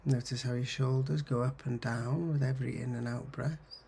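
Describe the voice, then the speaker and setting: A man leading a guided meditation in a husky, gravelly voice, giving gentle, soothing instructions in short phrases with pauses. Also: mid-range voice, steady tone, a little hiss or hum. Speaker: counsellor guiding mindfulness. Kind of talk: guided meditation, body scan.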